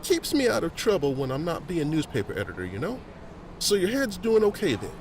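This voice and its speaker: A folksy, middle-aged local history volunteer in a family audio drama. His voice sits high and swings with animated, avuncular explanation. Faint noise lies behind it. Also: brisk. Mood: friendly, concerned. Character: friendly, folksy older male museum volunteer and newspaper editor.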